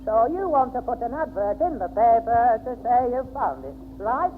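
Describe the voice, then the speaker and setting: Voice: mid-range voice, big pitch swings, moderate pace, lightly acted. Performer as confused old caretaker, hesitant and stubbornly literal, swooping delivery, noisy vintage radio comedy. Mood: muddled. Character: doddering, confused, testy old male newspaper caretaker. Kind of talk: 1960s British radio sitcom acting. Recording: noisy, tinny 1960s radio recording.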